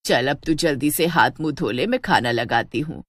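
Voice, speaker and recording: Elderly Indian village mother-in-law played by a woman narrator, lowered voice, affectionate and homely, cartoon storytelling, dry recording. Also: moderate pace, no echo. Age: older. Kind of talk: animated Hindi storybook acting.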